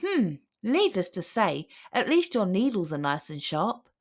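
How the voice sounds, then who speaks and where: A woman's voice: medium pitch, big pitch swings, a little slow. A woman voicing a kindly, cheerful male porcupine doctor in a children's storybook. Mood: concerned. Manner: cheerful, gentle.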